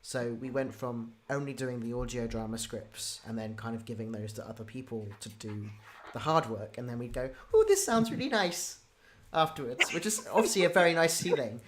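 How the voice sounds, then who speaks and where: An interviewer of unclear gender chatting casually about making audio drama, halting and repeating words for emphasis. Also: mid-range voice, animated. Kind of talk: casual chat. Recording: noisy background with clicks.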